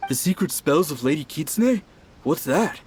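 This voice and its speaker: A man's voice: fairly high pitch, animated, moderate pace, a little mellow, slight background noise, close to an everyday voice. A man playing a curious, easily impressed townsman in a video game, chatty and eager. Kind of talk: scripted game voice acting.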